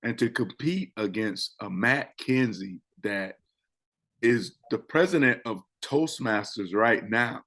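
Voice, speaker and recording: A man's voice: lowish voice, slow pace. A man who is a public speaking coach and co-host, chatting on a talk show and repeating phrases for emphasis. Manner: animated, swings loud and soft for effect. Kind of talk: casual show chat.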